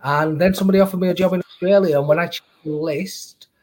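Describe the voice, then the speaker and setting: A man's voice: high voice, fairly even intonation, quick pace, darkish tone. A middle-aged man with a Northern English accent, chatting casually as a podcast guest about his career in brewing. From Northern England. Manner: chatty, self-deprecating, laughs easily. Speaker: guest, brewer and distiller.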